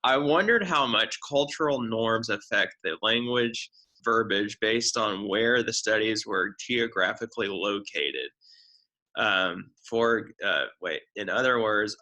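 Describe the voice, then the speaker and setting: A man in a group discussion who reads others' chat comments aloud, with a halting, self-correcting delivery. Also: on the low side, bright, forceful tone, poor audio.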